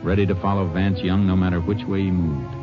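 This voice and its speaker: An actor playing a calm, decisive Texas Ranger in a 1950s radio drama, with a clipped, authoritative manner. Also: male voice, deep voice, fairly quick, natural voice. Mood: measured narrating. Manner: clipped, authoritative, matter-of-fact. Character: calm, decisive male Texas Ranger lawman, narrating and leading. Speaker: lead actor and narrator. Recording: dull old 1950s radio recording, music behind.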